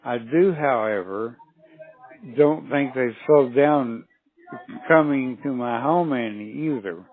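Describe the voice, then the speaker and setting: An older man talking over a narrow phone line, unhurried and folksy as his stories wander. His voice sits at an ordinary middle pitch. The line is thin and tinny. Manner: folksy, rambling storyteller. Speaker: caller on a sales call. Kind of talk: casual phone conversation.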